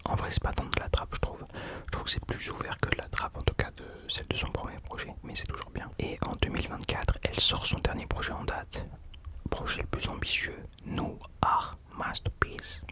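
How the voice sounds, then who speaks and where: A young man whispering casual ASMR commentary as a host, hesitantly searching for his words. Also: moderate pace.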